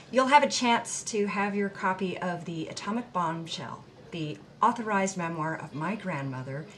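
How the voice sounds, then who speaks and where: An actress playing an earnest, admiring young granddaughter in an audio drama, speaking sincerely and a little haltingly. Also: female voice, lowish voice, lively intonation, a little hiss or hum. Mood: welcoming. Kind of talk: audio drama acting, conversational.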